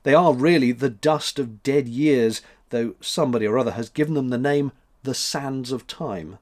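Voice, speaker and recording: A man voicing old Father Time in a storybook, a self-assured old man with a measured, matter-of-fact manner that can turn severe. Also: mid-range voice, lightly acted.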